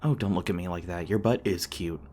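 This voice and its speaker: A low-pitched male demon boyfriend, acted by a man in an audio roleplay, over clearly noisy ambience. He talks casually and haltingly, crass and teasing, with a caring streak underneath. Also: close to an everyday voice. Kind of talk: boyfriend ASMR roleplay, conversational acting. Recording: clearly noisy background with ambient sound effects.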